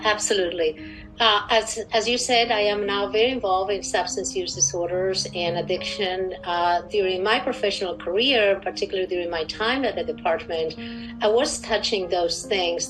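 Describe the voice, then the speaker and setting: A woman's voice: on the low side, rough recording. Spanish-accented woman in her sixties over music. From Spain. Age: 60s. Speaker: interview guest, clinical research director. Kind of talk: formal interview answers.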